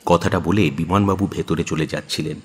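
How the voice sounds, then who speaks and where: A man's voice: low voice, fairly even intonation, brisk, darkish tone, slight background noise. A man narrating a dramatised audio story as the storyteller. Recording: long hall-like reverb.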